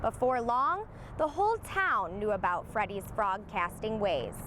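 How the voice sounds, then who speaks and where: A woman reading a children's storybook aloud in an expressive storytelling manner. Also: medium pitch, measured pace, noisy background. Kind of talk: scripted storybook narration for young children.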